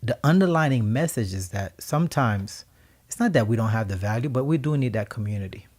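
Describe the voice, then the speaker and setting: Haitian guy co-hosting a radio talk show, slow and emphatic, middle-pitched voice. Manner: reflective, emphatic, builds to animated laughing storytelling. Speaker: co-host.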